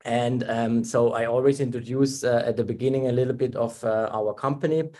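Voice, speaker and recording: A man from Germany, a German native speaker, giving a webinar presentation as a dental technician, talking in halting English with many fillers and restarts over a computer mic. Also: medium pitch, fairly even intonation, warm tone.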